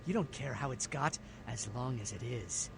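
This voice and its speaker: Sleazy, bitter blood dealer, noisy background. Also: male voice, mid-range voice, expressive, normal speed, gravelly. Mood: creepy, taunting. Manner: scolding, sardonic, lapsing into morbid verse. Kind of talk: video game voice acting, theatrical dialogue.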